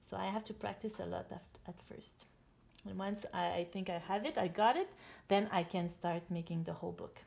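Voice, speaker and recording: Creaky-voiced woman explaining simply to children. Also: medium pitch, normal speed, a little mellow. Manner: simple explanations in short phrases, talking while drawing. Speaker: children's author and illustrator. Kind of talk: storytime and drawing demonstration for children.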